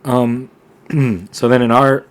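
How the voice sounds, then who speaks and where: A young man co-hosting a podcast, talking casually in a relaxed, rambling way with lots of fillers and restarts. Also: medium pitch, extremely slow, clean tone. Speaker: podcast co-host. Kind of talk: casual conversation.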